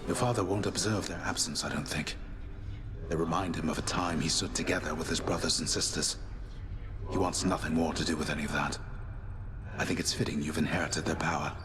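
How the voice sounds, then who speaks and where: A man voicing a calm, loyal warrior mentor in a video game, speaking in a gentle, measured, confiding way. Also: extremely low pitch, music in the background.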